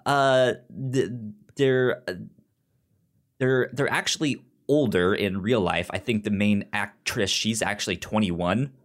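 A man chats casually as a podcast co-host, taking his time over each thought. His delivery is slow and halting, with frequent stammered restarts on words and sounds. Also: lowish voice. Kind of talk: casual chat reviewing a drama series.

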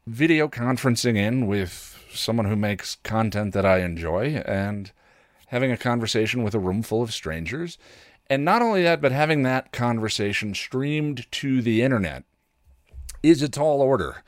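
A man hosting a casual solo podcast, talking in a rambling, halting way as he thinks aloud. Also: mid-range voice, animated, no room sound, studio quality. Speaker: podcast host and content creator.

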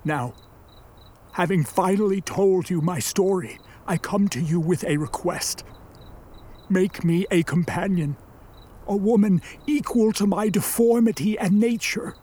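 An actor in a radio play voicing an embittered, vengeful created monster, with a husky voice and grandiose, formal delivery. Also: medium pitch, warm tone. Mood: grim, vengeful. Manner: grandiose, theatrical, deliberate delivery. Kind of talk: radio play acting, formal archaic speech.

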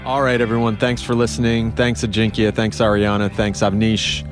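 A man with a fairly low voice hosts a podcast in an informal, conversational way. Plucked guitar music plays clearly behind him as he speaks. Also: monotone, normal speed. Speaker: podcast host and producer. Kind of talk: casual podcast hosting.

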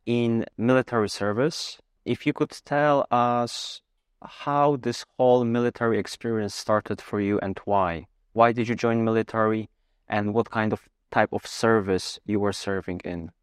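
A man hosting a podcast, reading a scripted introduction and asking measured, deliberate questions. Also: on the low side, steady tone, clean tone, dry recording, clean studio sound. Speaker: podcast host.

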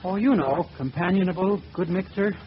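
An actor playing a naive, polite, silly boyish young suitor in a 1940s radio comedy, speaking meekly with guileless deadpan. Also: male voice, sits high, normal speed, close to an everyday voice. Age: young adult. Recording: muffled old radio, heavy hiss.

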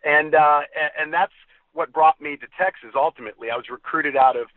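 A man from the US Midwest, a guest who tells stories in a friendly way and pauses on many uhs. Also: fairly high pitch, no room sound. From Ohio, US Midwest. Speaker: guest, comedy performer and co-founder. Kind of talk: interview conversation. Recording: dry, tinny phone line.

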